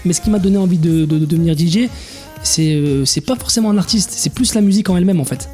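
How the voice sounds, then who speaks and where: A man's voice: sits high, fast talker, mellow, faint music behind. A young man in his twenties, a DJ, talking casually in an interview, hesitant with many restarts and fillers. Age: 20s. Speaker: DJ guest.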